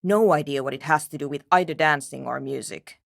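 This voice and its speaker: A woman's voice: low-pitched, fairly quick, gravelly, no room sound. An actress playing a curt, skeptical, world-weary woman programmer in a video game, speaking in a brusque, dry, dismissive way. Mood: dry, guarded. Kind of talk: video game character dialogue.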